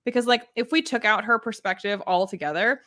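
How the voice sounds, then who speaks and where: A young woman talks casually and with strong opinions as a livestream co-host. Her voice sits at an average pitch, recorded close and dry. She often slips into mimicked quotes to make a point. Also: brisk, a bit bright, dry recording. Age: young adult. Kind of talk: casual book discussion. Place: room at home, indoors.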